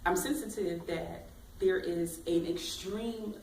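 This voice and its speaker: A woman career coach giving bold, expressive motivational advice in an interview. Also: fairly low pitch, slow, slight background noise. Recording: echoey room, mic at a distance.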